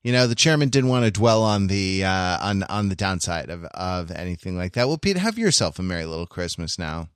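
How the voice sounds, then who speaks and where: A man's voice: sits low, big pitch swings, brisk, hardly any pauses, clear, resonant tone, no echo, clean studio sound. A man hosting a podcast in casual, comedic chat, talking in a rambling, digressive and wry way. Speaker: podcast host.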